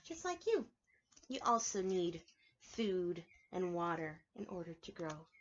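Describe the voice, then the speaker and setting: A woman's voice: lowish voice, sing-song, a little slow, muffled recording, slight background noise. A woman preschool teacher reading a picture book aloud to young children, explaining it simply and asking them questions. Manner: instructive, simple phrasing, asks children questions.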